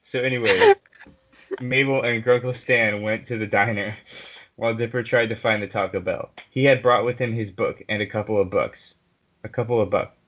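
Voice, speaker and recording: A man's voice: on the low side, fairly even intonation, moderate pace, a bit bright. A man reading fan fiction aloud in a casual group session, blunt and sweary, often breaking off to comment. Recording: muffled lo-fi mic with noise.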